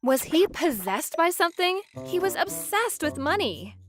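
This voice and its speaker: A woman's voice: very high-pitched, very animated, normal speed, fairly bright tone, full character voice. A woman playing a weary, resolute young wife, narrating her divorce in first person for a manga dub. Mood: narrating, earnest. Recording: music bed under the voice.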